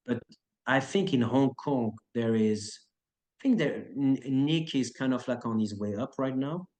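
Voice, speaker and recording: A man's voice: mid-range voice, measured pace. Chill guy in his 30s rambling thru an interview, lots of uh and like, choreographer type. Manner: relaxed, rambling, many fillers and restarts. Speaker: action choreographer and actor. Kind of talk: casual interview chat.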